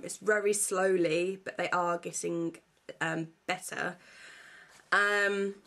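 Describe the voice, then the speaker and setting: A woman's voice: on the low side, gravelly, fairly bright tone. A young woman, chatting casually to camera at home, rambling with lots of fillers, her nose blocked and congested. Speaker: vlogger.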